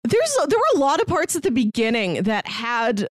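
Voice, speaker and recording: A woman's voice: fairly high pitch, huge pitch swings, moderate pace, no echo, clean studio sound. A woman co-hosting a podcast in a casual, conversational film chat. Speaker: podcast co-host reviewing films.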